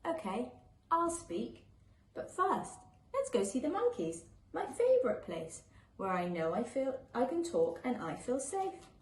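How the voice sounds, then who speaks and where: A woman's voice: fairly high pitch, big pitch swings, a little slow. A woman voicing a sad young boy in a children's storybook, earnestly and hesitantly opening up in rhyme. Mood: hesitant, trusting. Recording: echoey room with faint background noise.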